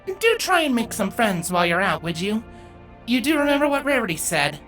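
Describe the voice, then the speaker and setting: A man voicing a caring mother pony queen in a storybook reading, gently prodding and motherly. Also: high voice, big pitch swings, a little fast, soft background music, pitched above the performer's own voice.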